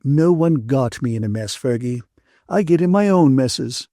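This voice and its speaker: A cheerful, soothing young woman from a novel, voiced by a male narrator, speaks in a higher register. The manner is gentle and unruffled, lightly teasing, in a very dry recording. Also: animated, normal speed, no echo, lightly acted.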